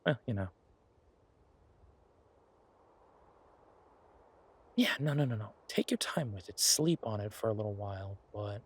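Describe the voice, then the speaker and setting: A man playing an earnest, playful boyfriend in a naturalistic one-sided audio roleplay, talking in a halting, wry, conversational way. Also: medium pitch, darkish tone, no echo, close to an everyday voice. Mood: reassuring. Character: earnest, playful werewolf boyfriend pitching a farm venture.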